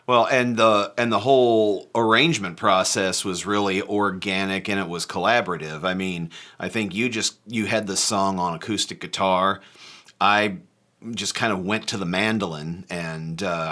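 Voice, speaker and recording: A man from a band who jokes around and tells the story of arranging a song in an animated, playful way. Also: fairly low pitch. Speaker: band member discussing a song. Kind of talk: casual conversational chat.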